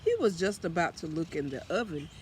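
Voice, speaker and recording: A man reading a children's story aloud as storyteller, in an expressive manner. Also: fairly high pitch, a little fast, slight background noise, low-quality recording. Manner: expressive, with acted character lines.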